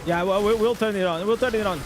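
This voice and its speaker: A man with a high voice for a man streams a game live, narrating his play in English. He bursts into excited reactions over loot and chats casually with his viewers. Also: fast talker, background noise. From Portugal. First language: Portuguese. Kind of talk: live gameplay commentary.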